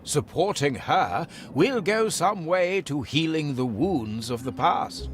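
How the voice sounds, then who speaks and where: An actor playing an eccentric, pompous British gentleman official in a video game, savouring his quaint words. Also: fairly high pitch, animated, takes it slowly, slight background noise. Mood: solemn. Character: jolly, plummy, eccentric old-fashioned gentleman official.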